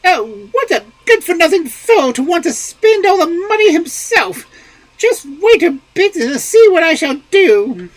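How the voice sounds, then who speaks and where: A voice performing a nagging old peasant wife in a storybook reading, with a shrill, exclamatory manner. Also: female voice, big pitch swings, a little slow, a bit bright, dry recording, full character voice, low-quality recording. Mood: scolding.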